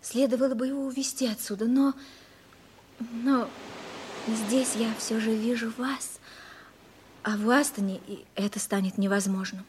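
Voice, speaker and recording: An actress playing an anxious, earnest young fiancée in a theatrical 1960s Soviet radio play. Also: female voice, fairly high pitch, fairly even intonation, normal speed, long pauses, close to an everyday voice. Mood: wistful. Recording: old radio recording, faint hiss.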